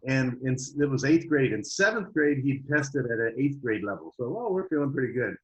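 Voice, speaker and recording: Middle-aged male interview guest, mid-pitched, animated conversational storyteller. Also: a little fast, dull audio. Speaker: guest, homeschooling parent.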